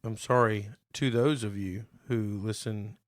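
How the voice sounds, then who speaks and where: A man co-hosting a casual podcast, playful and teasing, his voice a bit hoarse from being sick. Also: lowish voice, fairly even intonation, dry recording, clean studio sound. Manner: playful, teasing, drawn-out reading aloud. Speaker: podcast co-host. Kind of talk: casual chat.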